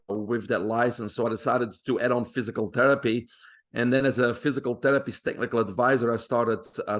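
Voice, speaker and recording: Belgian-accented man telling his story. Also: mid-range voice. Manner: steady, self-assured storytelling with frequent "uh" pauses. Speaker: guest. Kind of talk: interview storytelling.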